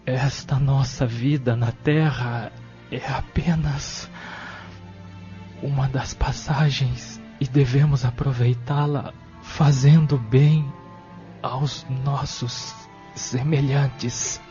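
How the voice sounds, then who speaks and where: An actor playing a gentle, devout young man on his deathbed in a radio drama. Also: mid-range voice, steady tone, slow, natural voice. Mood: serene, weak. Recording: dull lo-fi radio drama, music behind.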